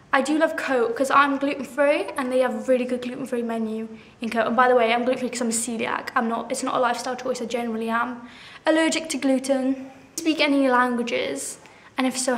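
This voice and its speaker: Chatty high-voiced teenage girl. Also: roomy sound. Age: 17, teens. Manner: chatty and bubbly, fills with "like", laughs at herself. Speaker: vlogger. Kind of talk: casual Q&A chat to camera.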